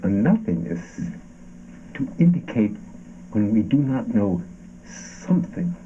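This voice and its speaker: An actor playing an anguished philosophical man haunted by his body, declaiming haltingly in an avant-garde stage drama. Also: lowish voice, sing-song, mellow. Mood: solemn. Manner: halting, theatrical, swooping declamation. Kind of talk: avant-garde theatrical performance of a radio play. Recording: dull, muffled stage recording with noise.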